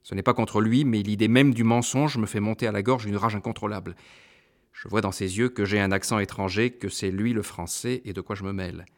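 A man reads a first-person novel aloud in a very low, deep voice. His delivery is measured and introspective, steady from one sentence to the next. He sounds like an audiobook narrator.

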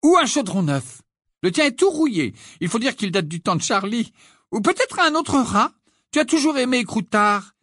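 A man's voice: very high-pitched, very animated, moderate pace, a bit bright, no room sound, clean studio sound, voice pushed up, exaggerated voice. A man reading an audiobook voices a doting, fussing middle-aged mother witch in a gushing, affectionate way.

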